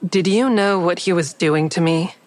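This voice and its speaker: A woman's voice: lowish voice, normal speed, darkish tone, no room sound, natural voice. An actress playing a guarded, callous woman who narrates her own thriller in a restrained, probing way. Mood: guarded, tense. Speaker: narrator and lead character. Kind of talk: first-person audio drama narration and dialogue.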